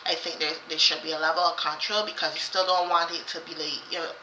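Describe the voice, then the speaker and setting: A woman's voice: low voice, level tone, fast, bright, forceful tone, slight background noise. A woman chatting casually as co-host, rambling and repeating herself with many fillers and restarts. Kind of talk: casual chat. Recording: roomy, slightly dull sound.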